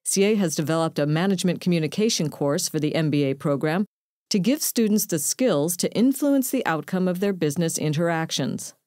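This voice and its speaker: Brief: woman news reporter, low mellow voice, calm scripted voice-over. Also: no room sound, clean studio sound. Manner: measured, even reading.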